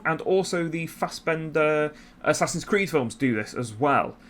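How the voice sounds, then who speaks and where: A man's voice: a little high, fairly bright tone. A man hosting a YouTube channel, giving casual commentary in a conversational way with self-deprecating asides. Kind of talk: casual video essay commentary.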